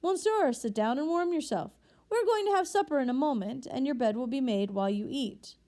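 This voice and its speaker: A woman reading aloud and voicing a gentle, solemn, hospitable elderly bishop. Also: high-pitched, animated, brisk, pitched above the performer's own voice. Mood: kind.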